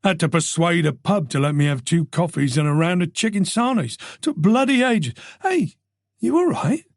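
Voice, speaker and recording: A male narrator voicing a police colleague who talks in a gentle, soothing, casual way. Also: high voice, animated, normal speed, dry recording, studio quality, pitched above the performer's own voice.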